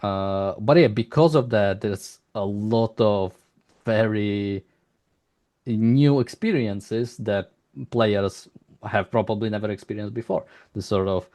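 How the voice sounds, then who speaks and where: A man's voice: fairly low pitch, darkish tone. A man interviewing someone, speaking hesitantly in rambling questions with frequent restarts. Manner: hesitant, halting, rambling, often restarts sentences. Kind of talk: unscripted interview, long exploratory questions.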